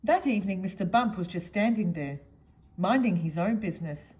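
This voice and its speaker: Female storyteller for a children's picture book, expressive, highly varied intonation, speaks straight to young listeners. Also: moderate pace.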